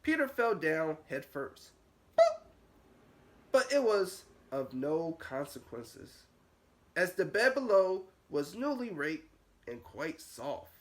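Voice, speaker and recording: A man's voice: on the high side, sing-song, takes it slowly. A man reading a storybook aloud to young children as a nursery teacher, with a sing-song delivery that rises and falls.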